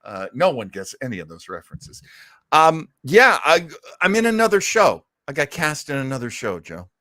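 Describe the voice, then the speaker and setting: A man's voice: on the high side, big pitch swings, moderate pace, sharp tone, no echo. An American man hosting a casual radio fan show, speaking in a theatrical, effusive and self-mocking manner. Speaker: host. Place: indoors at home.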